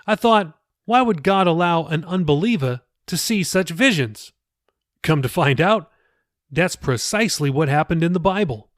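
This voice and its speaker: Earnest, higher-pitched male pastor, as a narrator voices him. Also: no room sound, studio quality. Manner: colloquial, insistent, questioning. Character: earnest, probing male pastor, casual and persuasive. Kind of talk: audiobook character dialogue.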